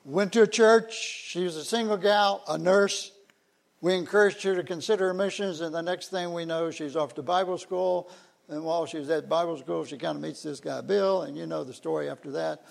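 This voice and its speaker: A man's voice: on the high side, normal speed, gravelly. An older man giving a folksy, conversational church talk about missionaries, with frequent fillers. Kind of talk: informal church talk introducing missionaries on slides.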